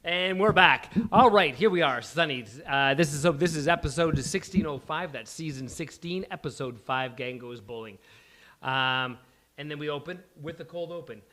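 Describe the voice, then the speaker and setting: A man's voice: fairly high pitch, normal speed, pushed and bright. A man hosting a live table read and narrating the stage directions. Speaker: host and stage-direction reader. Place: live venue before a studio audience. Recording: faint background noise, live event.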